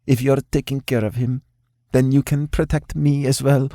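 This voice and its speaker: A man's voice: medium pitch, fairly even intonation, normal speed, mellow, dry recording, clean studio sound, pitched above the performer's own voice, lightly acted. A man reading an audiobook, voicing a spirited, blunt young woman in a raised voice. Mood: insistent. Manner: insistent, blunt. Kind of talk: audiobook character voice.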